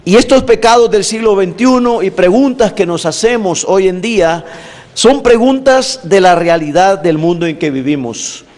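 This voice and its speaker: A man delivers a preaching-style lecture in a slightly high voice. He speaks deliberately, stacking long lists of points with emphasis. His voice rings out with a long reverb, as if filling a big hall. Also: a little mellow. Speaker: teacher of evangelism theology.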